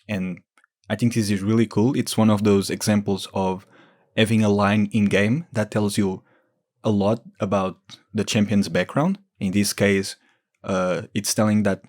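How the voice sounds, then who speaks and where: A man's voice: lowish voice, darkish tone. A man giving informal, explanatory lore commentary as a gaming video creator.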